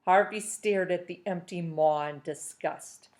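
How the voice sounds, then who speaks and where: A woman reading a novel aloud chapter by chapter, with steady storytelling. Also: lowish voice, unhurried.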